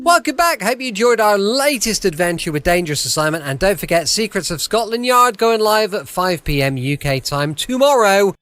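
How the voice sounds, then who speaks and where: High, lively male podcast host. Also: normal speed. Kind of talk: scripted casual podcast outro.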